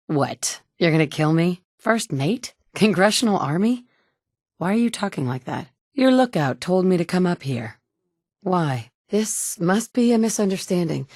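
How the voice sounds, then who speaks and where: A tough, wry survivor heroine of a video game, speaking in a slightly low, blunt voice. She delivers her lines with dry sarcasm. The studio sound is close and dry. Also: darkish tone, no room sound, heavily acted. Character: tough wry woman survivor, young adult. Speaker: player character.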